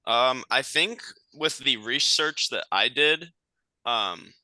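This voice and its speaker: Slow, bright-voiced hesitant young man. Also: mid-range voice, animated, dry recording. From United States. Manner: hesitant, many ums. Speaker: student. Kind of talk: casual answers on a video call. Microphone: video call.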